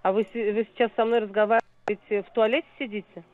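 A woman's voice: mid-range voice, level tone, quick pace. A woman working as a club receptionist, speaking briefly, patiently and matter-of-factly on the phone. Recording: muffled phone line.

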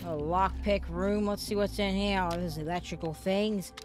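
A streamer with a voice prone to cracks, talking rambly through a horror game with many fillers. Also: female voice, mid-range voice, fairly even intonation, unhurried, over music. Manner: rambling, filler-heavy, jumpy. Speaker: gaming streamer. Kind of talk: let's play commentary.

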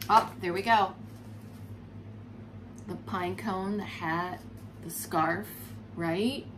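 A woman in her forties reading a storybook aloud to young children as a preschool teacher, expressive and explanatory, recorded indoors at home. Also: fairly low pitch, extremely slow. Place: furnished room at home, indoors. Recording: clear background noise.

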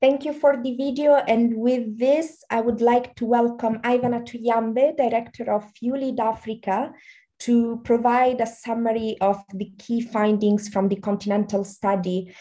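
A young woman moderating an online launch event over a video call from a room at home, speaking in a measured way with fillers. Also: on the high side, steady tone, dark tone. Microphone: laptop on a video call.

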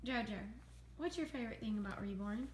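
A chatty woman in her thirties with a Southern American accent and a fairly high voice. She talks off-camera from behind a handheld phone, adding details as her daughters talk. The living room sounds echoey, with faint noise in the background. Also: lively intonation, a little fast, a little mellow. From Southern United States. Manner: chatty, chiming in to add details. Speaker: mother filming her daughters. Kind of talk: casual family chat. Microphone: handheld phone camera, speaker off-screen.

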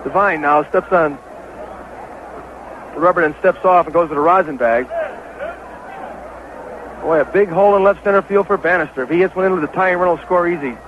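A high-voiced American man calls a baseball game in 1970s radio style. He is an excitable, openly partisan play-by-play announcer. The old broadcast recording sounds dull, with clear ballpark noise behind him. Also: a little fast, long pauses. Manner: excitable, partisan home-team caller. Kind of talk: 1970s live radio sports commentary.

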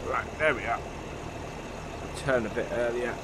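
Man, slightly nasal, coming down with a cold, relaxed gameplay chat, clear vehicle noise behind. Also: medium pitch, measured pace. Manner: relaxed, chatty, thinks aloud with many fillers. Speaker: let's play host. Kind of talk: casual gameplay commentary.

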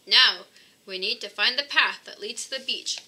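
Woman as boy rescue hero for a picture book: eager, exclamatory, high and forceful. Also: moderate pace, rough recording. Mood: excited. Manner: exclamatory, calling out to the listener. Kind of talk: children's storybook read-aloud.

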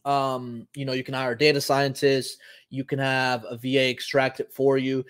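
A man's voice: mid-range voice, monotone, moderate pace, fairly bright tone, smooth. A man in his thirties from Puerto Rico, hosting a live show and explaining casually while thinking aloud with frequent ums. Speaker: host. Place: home office, indoors.

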